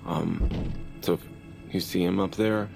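An actor playing a goofy, excitable male amateur bounty hunter in an audio drama, rambling and emotional. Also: low-pitched, a little slow, creaky, music in the background, heavily acted. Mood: solemn.

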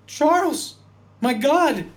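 A woman's voice: high voice, expressive, drawn-out words, full character voice. A woman playing a flustered, ambitious junior talent agent, stammering, eager and fawning. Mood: fawning, nervous.

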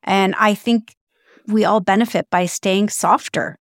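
A woman's voice: medium pitch, steady tone, a little slow, dry recording, clean studio sound. A woman hosting a podcast interview, friendly and reflective, sharing personal stories. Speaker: podcast host.

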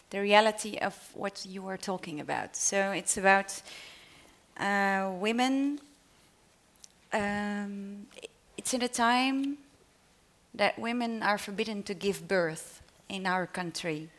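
A woman's voice: mid-range voice, a bit bright. A woman with a Dutch accent, an author speaking on a panel and then reading her own short story in English, a little hesitant. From Netherlands. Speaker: author reading at a literary event.

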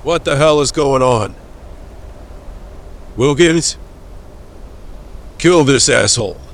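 A man's voice: mid-range voice, lively intonation, takes it slowly, slight background noise. A man playing a bitter, domineering villain doctor in an audio drama, injured, in pain and making indignant demands. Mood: furious. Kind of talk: scripted audio drama acting.

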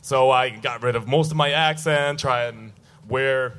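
A young man from Russia, a guest on a live podcast on a festival stage, talking with many hesitant pauses. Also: medium pitch, a bit bright. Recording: live stage with crowd noise.

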